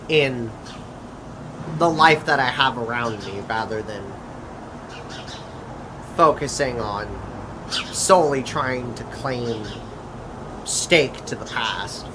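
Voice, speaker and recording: A man speaking in a halting, drawn-out way, as if in amateur character drama dialogue. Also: mid-range voice, background noise.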